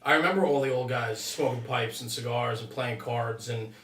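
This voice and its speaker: Middle-aged American male, firefighter podcast host. Bright, forward tone, conversational, recorded roomy and slightly distant. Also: mid-range voice, moderate pace. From New Jersey, United States. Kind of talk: casual interview conversation.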